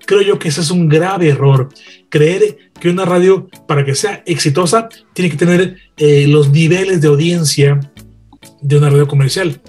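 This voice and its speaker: A man with a Mexican accent giving his opinion as a radio panel guest, emphatic and deliberate, building rhetorical lists. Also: a little high, darkish tone, faint music behind.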